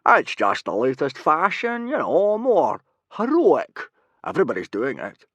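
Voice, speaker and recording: Blustering brawny warrior teacher, as a narrator puts him on, loud, pitch lifted, drawn-out words. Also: male voice, wildly swooping pitch, dry recording, heavily acted. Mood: offhand. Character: blustering middle-aged male warrior teacher.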